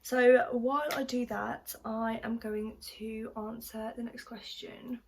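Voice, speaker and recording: A woman's voice: fairly high pitch, slow pace, slight background noise. Chatty young South African-born woman. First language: Afrikaans. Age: young adult. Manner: chatty, relaxed, says "literally" and "just" a lot. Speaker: makeup vlogger. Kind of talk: casual makeup tutorial chat.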